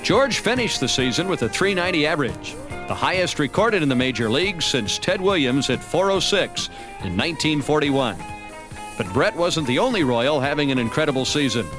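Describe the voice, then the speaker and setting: American man narrating over music, higher-pitched voice. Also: sing-song, fairly quick. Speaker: narrator of a baseball season review. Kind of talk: scripted documentary narration. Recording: music bed under narration. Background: background music.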